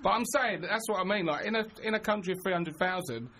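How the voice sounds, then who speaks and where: Bright high-voiced radio host, playful. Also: male voice, quick pace, a little hiss or hum. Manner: playful, hyping guests up. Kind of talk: casual studio chat.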